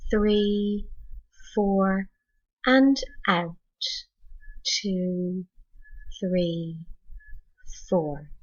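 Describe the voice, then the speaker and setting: A woman with a husky edge to her voice leads a guided meditation at a very unhurried pace. She gives measured, teacherly instructions with deliberate pauses between phrases. The recording is a little dull and lo-fi. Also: mid-range voice, a little mellow. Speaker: teacher leading mindful meditation for students.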